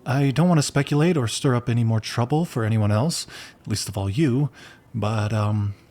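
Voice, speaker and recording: A man's voice: medium pitch, normal speed, darkish tone, close to an everyday voice. An actor playing a polite, timid, apologetic man in a game, speaking tentatively and with careful formality. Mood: anxious, apologetic.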